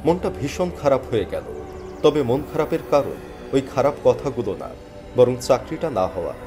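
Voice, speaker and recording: A man plays an earnest, downhearted young job seeker narrating a cartoon thriller in the first person. His middle-pitched voice rises and falls dramatically. Music runs clearly underneath. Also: normal speed, natural voice. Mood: dejected. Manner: expressive, earnest.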